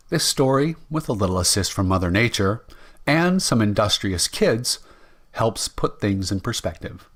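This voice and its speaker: A man with a fairly low voice introduces a vintage radio program as its host. He reads from a script in measured, theatrical phrases, pausing deliberately. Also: a bit bright. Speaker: radio show host, announcer.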